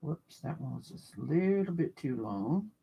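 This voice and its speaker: A craft livestreamer with a loud voice, rambling and thinking aloud while working at a home desk, mic set off the desk. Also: mid-range voice, very animated, a little slow, creaky, husky. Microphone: mic kept off the desk, away from the speaker.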